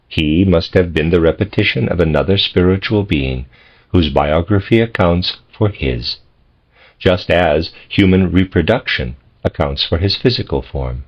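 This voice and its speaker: A man reading a philosophical text as scripted narration, in measured, deliberate phrases with pauses. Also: low-pitched, breathy edge. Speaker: audiobook reader. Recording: dull, lo-fi audio.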